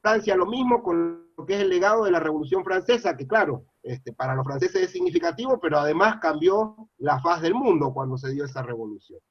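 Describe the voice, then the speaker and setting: A man's voice: a little high, sing-song, brisk, hardly any pauses, breathy edge, no room sound. A middle-aged man from Mendoza with an Argentine accent, giving an earnest, rambling public lecture full of "eh" and "este" fillers. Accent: Argentine Spanish. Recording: dull lo-fi dry sound.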